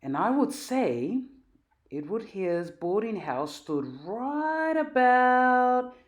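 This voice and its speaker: A cheerful storybook dad, read by a woman. The delivery is enthusiastic and patient, with big lively rises as he explains things to his child. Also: fairly high pitch, slow pace, voice pushed up. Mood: beaming, proud.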